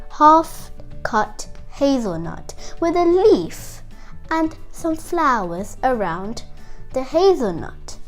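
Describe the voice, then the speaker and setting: A young girl tells a fairytale to other children as the host. Her voice is high and very lively, with an unhurried, chatty singsong delivery over music. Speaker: storytelling host of a kids' show.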